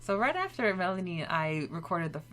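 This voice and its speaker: A woman from Montreal speaks as a podcast co-host. Her voice sits a little low for a woman. She chats casually, in a gushing and giggly way. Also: very animated, a little fast, a bit bright. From Montreal, Canada. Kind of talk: casual chat.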